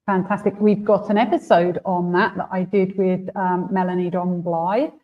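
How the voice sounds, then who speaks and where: A woman's voice: lowish voice, flat delivery, moderate pace. A woman hosting a casual interview, chatty and full of fillers, heard over a video call. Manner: chatty, warm, many fillers and restarts. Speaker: host and trainer. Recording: tinny phone-like line.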